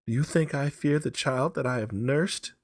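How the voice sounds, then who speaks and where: A man's voice: medium pitch, normal speed, dry recording, studio quality, pitched above the performer's own voice, natural voice. A man voicing a feverish, grieving old mother who cries out insistently and hysterically in a dramatic story reading. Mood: feverish insistence.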